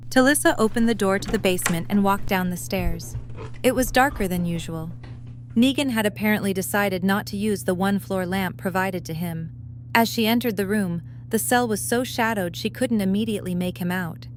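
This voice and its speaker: A woman's voice: medium pitch, moderate pace. A woman reading scripted third-person narration for an audio drama. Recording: clear background noise with hum.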